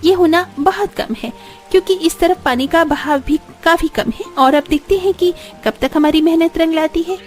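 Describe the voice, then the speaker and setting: Very high-pitched earnest village daughter-in-law acted by a woman. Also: moderate pace, faint music behind. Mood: concerned, practical. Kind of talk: cartoon story dialogue.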